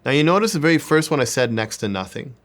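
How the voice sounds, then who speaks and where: A man's voice: mid-range voice, expressive, fast. A man giving an informal sales coaching lesson, repeating words and phrases for emphasis. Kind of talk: informal instructional talk with demonstrations.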